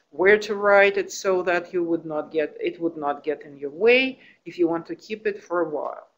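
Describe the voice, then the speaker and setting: A woman whose first language is Russian, giving an academic conference talk, pausing often and restarting phrases. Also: on the low side, muffled recording, a little hiss or hum. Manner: thoughtful, with frequent restarts and fillers. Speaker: academic presenter. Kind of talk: conference presentation.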